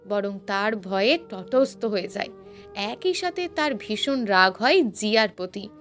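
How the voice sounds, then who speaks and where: Female storyteller, highish voice, emotive dramatic fiction narration, light music underneath. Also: a bit bright. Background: background music.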